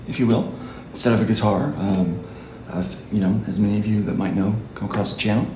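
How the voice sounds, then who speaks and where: A man with a low voice giving a relaxed, halting dulcimer lesson as a worship leader. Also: reverberant, background noise. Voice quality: low speaking voice. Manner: unhurried, halting explanation. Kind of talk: casual music tutorial.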